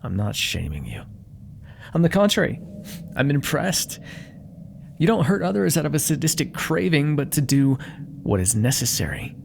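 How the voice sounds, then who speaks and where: A man's voice: a little high, big pitch swings, moderate pace, creaky, pauses often, a little hiss or hum. A man playing a proud, menacing demon dealmaker in an ASMR roleplay, theatrical and condescending, coaxing with whispered asides. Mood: teasing, approving.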